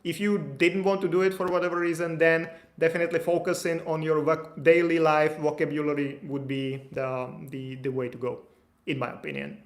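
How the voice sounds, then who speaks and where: A man's voice: fairly high pitch, level tone, a little fast. A man in his thirties hosting a live stream, reading out viewer questions and answering them in a loose, chatty way, with lots of fillers and restarts. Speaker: immigration consultant answering live chat questions. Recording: echoey room.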